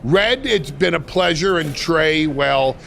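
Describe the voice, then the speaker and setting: An actor playing a sly, grandiose retired wrestling manager in a video game, with ominous, theatrical delivery and deliberate dramatic pauses. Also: male voice, fairly high pitch, animated, gravelly, a bit bright, slight background noise, exaggerated voice. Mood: resigned. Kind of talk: video game cutscene dialogue.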